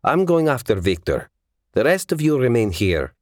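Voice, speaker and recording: A man's voice: medium pitch, big pitch swings, moderate pace, no echo, clean studio sound, voice pushed up, natural voice. A man playing a protective, wry, grumbling gunman in an audiobook. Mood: resolute. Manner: grumbling, decisive.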